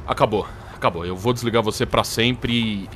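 A man's voice: on the low side, a little fast, a bit bright, slight background noise, close to an everyday voice. A man playing a distraught, accusing programmer in an audio drama, agitated, stammering and sweary. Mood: bitter, accusing. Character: hot-tempered, accusing male programmer, distraught and cornered. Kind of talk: scripted audio drama acting.

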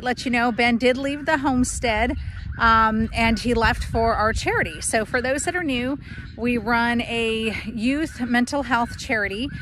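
A woman's voice: fairly high pitch, a bit bright, slight background noise. A woman, chatting casually to a phone at arm's length on her farm, with plenty of ums and restarts. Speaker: homestead vlogger. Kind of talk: casual update to camera. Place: outdoors on a grassy farm. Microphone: phone held at arm's length.

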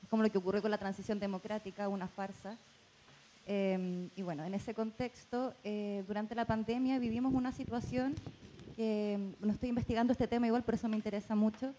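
Mellow Chilean woman at a microphone. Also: mid-range voice, steady tone, brisk, rough recording. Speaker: audience member asking a question, researcher. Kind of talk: spontaneous question to a panel. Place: audience in indoor hall. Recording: faint room noise.

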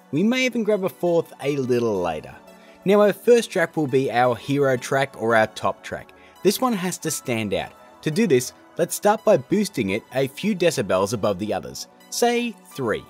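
A man hosting a software tutorial in a casual, chatty, jokey narrating style. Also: medium pitch, huge pitch swings, moderate pace, soft background music. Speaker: tutorial host.

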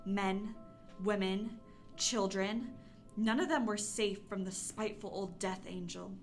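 A woman acts a teenage sister in an audio drama. She is curious and pushy, the bold one of the pair, with music clearly playing underneath her. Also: medium pitch, measured pace, close to an everyday voice. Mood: reading aloud, engrossed.

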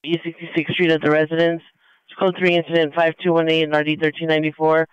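A man's voice: on the high side, monotone, fast talker, clear, resonant tone, no echo. A man working as police dispatcher, giving clipped broadcasts over a dull police radio.

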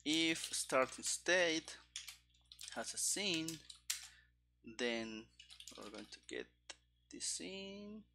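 A man in his thirties giving casual live coding commentary, haltingly thinking aloud into a headset mic. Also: a little high, sing-song, vocal fry, bright, forceful tone, slight background noise. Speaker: programmer live-streaming game development. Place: small room indoors at a desk. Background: keyboard typing and clicking.

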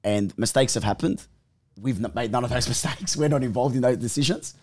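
A man's voice: medium pitch, a little fast, a little mellow, dry recording. A man hosting a podcast, talking casually and eagerly prompting his guests. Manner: eager, keeps prompting the others. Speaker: podcast host.

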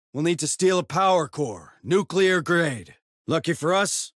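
Tough marine squad leader, video game, really sharp punchy voice, barks orders with dry jokes. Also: male voice, a little high, no echo. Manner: commanding, dryly joking. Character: gruff, wry marine sergeant leading his squad. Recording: faint background noise.

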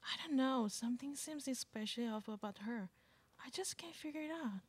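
A woman's voice: high voice, expressive, fairly quick, slightly rough. A woman playing a polite but exasperated mother in an amateur radio play. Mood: politely uneasy. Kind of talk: amateur live radio drama acting. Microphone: microphone on stand.

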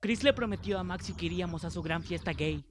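A man acts out a girl-hungry teenage boy in a drama dub. The voice is high, with a Latin American accent. He sounds cheeky and eager, and there is clear noise behind him. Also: fast talker, close to an everyday voice. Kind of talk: amateur fandub acting.

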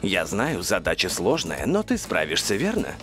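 Low-voiced, earnest cartoon mentor. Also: male voice, huge pitch swings, normal speed, fairly bright tone, faint music behind, full character voice. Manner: earnest, explanatory. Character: serious, steady adult male mentor to young pilots. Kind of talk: animated cartoon dub.

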